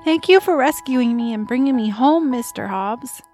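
A woman acting a gentle, earnest orphaned little girl in an audio drama. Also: high-pitched, animated, moderate pace, faint music behind. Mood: grateful. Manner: earnest, pleading.

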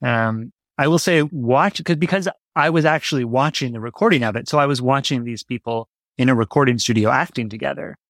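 A man's voice: medium pitch, fairly quick, hardly any pauses, fairly bright tone, dry recording. A man talking as a guest in a casual interview, reflective and enthusiastic about his work. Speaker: guest, audio drama writer.

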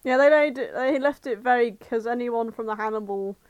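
A woman's voice: fairly high pitch, moderate pace, dry recording, dull audio. A woman co-hosting a podcast, chatting casually in a blunt, sweary way. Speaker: podcast co-host. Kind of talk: casual chat.

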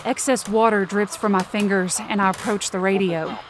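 A woman's voice: mid-range voice, steady tone, moderate pace, a crowd in the background. A woman performing scripted first-person fiction narration as a tense, searching inner monologue.

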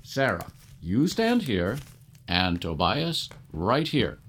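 Solemn patriarch played by a man, audio drama, unhurried and ceremonial, with wide swings in pitch. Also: very animated, a bit bright, husky, heavily acted. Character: solemn father of the bride, plain-spoken patriarch.

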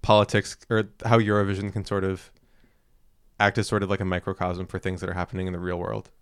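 A man co-hosts a casual podcast, reading from notes and then chatting with plenty of fillers and restarts. His voice sits a little low and comes through smooth and clear. The sound is dry and close to the mic. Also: little pitch movement, fast talker, no echo. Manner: hesitant, many fillers and restarts. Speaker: podcast co-host.

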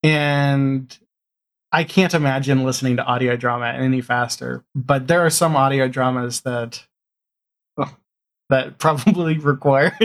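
A man chatting casually as an interview guest, in a halting way with repeated and reworked phrases. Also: mid-range voice. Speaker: podcast guest, audio drama showrunner.

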